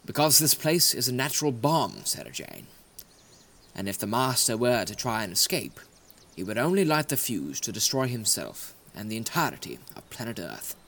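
A man's voice: medium pitch, big pitch swings, moderate pace, gravelly, fairly bright tone, a little hiss or hum. A man playing a lordly, wry scientist hero and dandy in an audio drama. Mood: grave, wry.

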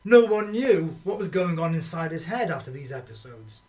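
A man's voice: on the high side, big pitch swings, normal speed, a little mellow. A man playing a worried soldier who recalls a troubled comrade, narrating in a radio play.

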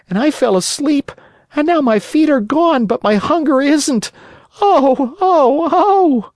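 High, whiny wooden puppet boy. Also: a little mellow, no room sound, clean studio sound. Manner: breathless, childish, complaining.

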